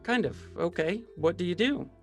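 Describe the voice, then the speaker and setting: A man's voice: high voice, expressive, normal speed, faint music behind. An actor playing a laid-back, agreeable man making small talk in an audio drama. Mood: casual, agreeable.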